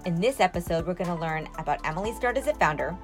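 A woman's voice: lowish voice, lively intonation, fast, over music. A woman speaking as a podcast host, introducing and interviewing a guest in a prepared style.

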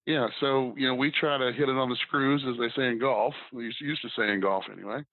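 A man's voice: mid-range voice, fairly even intonation, brisk, no room sound. A man who is a guest on a business podcast, rambling casually with lots of ums and restarts. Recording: dry tinny phone-like line.

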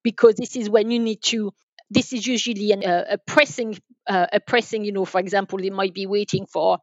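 A woman giving explanatory interview answers as a podcast guest, halting and repeating words as she goes. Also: mid-range voice, fairly even intonation, a little mellow, no room sound, dull audio. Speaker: podcast guest, enterprise sales manager.

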